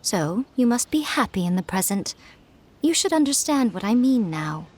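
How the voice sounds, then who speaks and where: Elegant fox spirit lady from a game, really expressive, wise and a bit teasing. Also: on the high side, moderate pace. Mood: sage, consoling. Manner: poised, knowing, gently probing. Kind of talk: video game voice acting.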